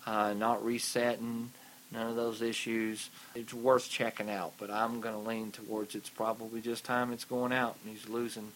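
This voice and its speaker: Man, repair expert co-host, relaxed, plainspoken, practical tips, casual podcast. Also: on the low side, moderate pace, slight background noise, poor audio. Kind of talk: casual technical advice.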